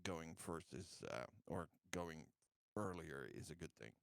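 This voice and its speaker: A man with a very low, varied voice plays a tabletop game. He speaks casually in short, hesitant bits, with a dry sound. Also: lively intonation, measured pace, gravelly, clean tone, dry recording.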